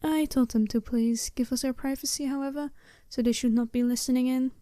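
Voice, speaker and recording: A woman playing a smooth, businesslike and seductive werewolf bride in a soft-spoken ASMR roleplay, speaking politely and formally in measured phrases. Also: high voice, fairly even intonation, no room sound, studio quality, natural voice. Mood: polite, composed.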